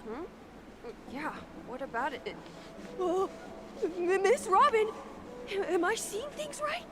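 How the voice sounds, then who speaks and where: A woman voicing an excitable, starstruck, chatty townsperson in a video game. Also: very high voice, takes it slowly, noisy background, heavily acted. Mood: excited. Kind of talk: animated video game voice acting.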